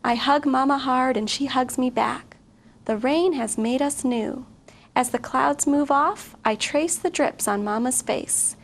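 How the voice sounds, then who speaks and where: A woman's voice: high-pitched, measured pace. A woman reading a picture book aloud expressively to children. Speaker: guest story reader. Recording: faint background noise.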